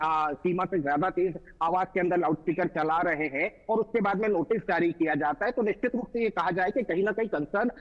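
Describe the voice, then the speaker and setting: A man reporting live as a news correspondent, speaking in formal, wordy and halting phrases. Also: high voice. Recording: dull lo-fi remote link.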